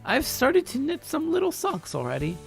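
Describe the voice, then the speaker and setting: A man voicing a doting, energetic grandmother of about eighty in a story, playfully crafty and coaxing. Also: very high-pitched, normal speed, creaky, faint music behind, pitched above the performer's own voice, full character voice. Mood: teasing. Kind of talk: character voice in a story reading.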